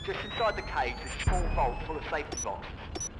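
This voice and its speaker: An actor playing a brisk, helpful tech support agent guiding a spy in a video game. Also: male voice, on the high side, animated, heavy background noise, lightly acted. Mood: brisk, matter-of-fact. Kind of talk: scripted game voice acting, radio briefing.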